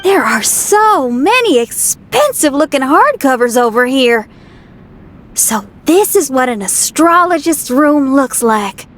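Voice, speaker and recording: A woman voicing a sensible, easygoing young adventurer in a video game, practical and gently placating. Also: high voice, sing-song, unhurried.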